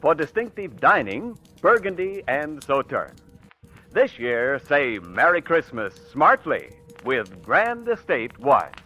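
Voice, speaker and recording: A man's voice: on the high side, very animated, takes it slowly, bright, forceful tone. An American man announcing a scripted 1940s radio commercial with a polished, emphatic sales delivery. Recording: vintage radio broadcast, faint music.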